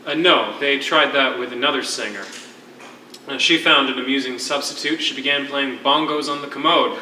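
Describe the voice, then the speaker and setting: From a little way off in an echoey space, a sardonic male prisoner in a stage drama. His voice is very bright and forceful, full of wry asides and theatrical, teasing emphasis. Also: mid-range voice, moderate pace. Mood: sardonic amusement. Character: sardonic, teasing fellow prisoner with a curious streak. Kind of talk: theatrical stage play acting. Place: theatre stage, live performance. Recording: echoey stage recording, distant, faint noise.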